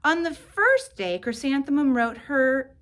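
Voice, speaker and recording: A woman reading a storybook aloud to young children, with expressive and deliberate phrasing. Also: high voice. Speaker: teacher reading aloud to pupils.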